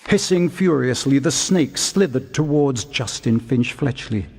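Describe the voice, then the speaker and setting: A man reading scripted storybook narration in a dramatic, husky voice. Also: mid-range voice, animated, unhurried, a little mellow. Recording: long hall reverb.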